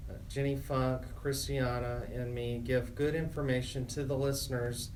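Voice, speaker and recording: A man's voice: medium pitch, flat delivery, normal speed, darkish tone. A middle-aged man hosting a talk radio show, speaking as an attorney and announcer. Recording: distant mic, noticeable background noise.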